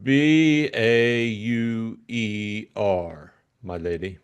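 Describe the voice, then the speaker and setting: Male butler, acted by a man, formal, obsequious, measured, classic mystery audiobook. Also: lowish voice, smooth, lo-fi sound. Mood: formal, deferential. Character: deferential, formal male butler of an English estate.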